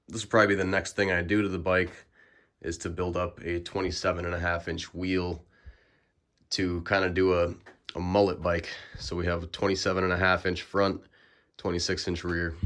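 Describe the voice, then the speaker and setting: A young man with a low-pitched voice, sniffly from the flu. He talks in a relaxed, explanatory way and fills his pauses with ums. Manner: relaxed, filler-heavy, explanatory. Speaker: bike vlogger. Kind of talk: casual bike check walkthrough.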